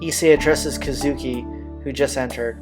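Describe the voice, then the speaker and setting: A man's voice: medium pitch, fairly even intonation, moderate pace, music in the background. A man reading a visual novel's narration aloud as a let's player, with occasional casual asides. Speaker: video game let's player.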